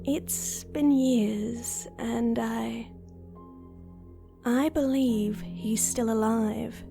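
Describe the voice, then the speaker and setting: A woman playing a composed, persistent noblewoman in an audio drama, wry and probing in a measured way. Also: fairly high pitch, steady tone, creaky, warm tone, faint music behind, heavily acted. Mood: earnest.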